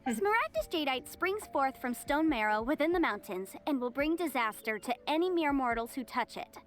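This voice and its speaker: A woman's voice: high-pitched, animated, normal speed. A woman voicing a shrewd, confident young legal adviser in a video game, articulate, formal and coolly assertive. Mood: coolly threatening. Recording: music behind the voice.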